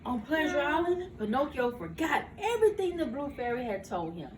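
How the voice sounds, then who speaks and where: A woman's voice: high voice, big pitch swings, a little fast. An older woman, a grandmother, reads a bedtime storybook expressively to children, stumbling now and then and correcting herself. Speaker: grandmother reading to her grandchildren. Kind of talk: animated bedtime storybook reading. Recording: roomy, noisy home recording, far from mic.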